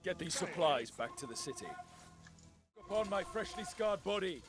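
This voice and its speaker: A man's voice: high voice, very animated, normal speed, music in the background. A man playing a bold, proud Spartan general in a game, giving rousing, commanding speeches and orders.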